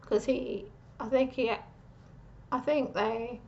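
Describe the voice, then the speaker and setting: A woman giving a casual, meandering psychic reading, pausing and restarting often, on a dull lo-fi recording. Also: a little high, steady tone, slight background noise. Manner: meandering, with frequent restarts and pauses. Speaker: psychic reader.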